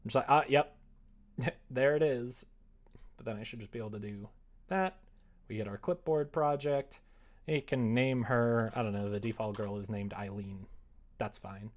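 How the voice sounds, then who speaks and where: A man chatting casually on a livestream as he works, rambling and self-deprecating, often muttering to himself, with a gravelly, creaky voice. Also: mid-range voice, fairly even intonation, moderate pace, pauses often. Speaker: live streamer, game developer. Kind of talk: casual livestream chat while working on screen.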